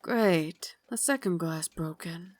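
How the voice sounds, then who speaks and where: A woman playing a refined, tender but tormented Victorian vampire lady in an ASMR roleplay, speaking in a formal, genteel way with breathy asides. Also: on the low side, takes it slowly. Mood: tense, stern. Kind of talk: ASMR roleplay, dramatic monologue.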